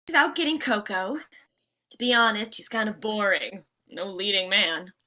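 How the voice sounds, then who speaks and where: A woman playing a flirty, fed-up Mrs. Claus in a comedy table read, breezy and expressive. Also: medium pitch, moderate pace, natural voice, rough recording. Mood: dismissive.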